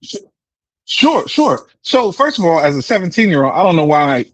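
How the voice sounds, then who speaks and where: An American man in a casual debate, explaining in an animated way, repeating words and often checking with "right?". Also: fairly high pitch, moderate pace, dry recording. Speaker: discussion participant answering questions.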